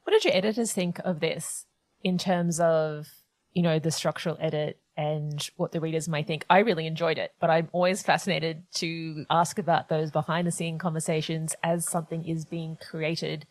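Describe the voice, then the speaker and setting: A woman hosting a book interview over a video call, asking thoughtful, measured questions. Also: low voice, fairly even intonation, no room sound. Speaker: interviewer, host.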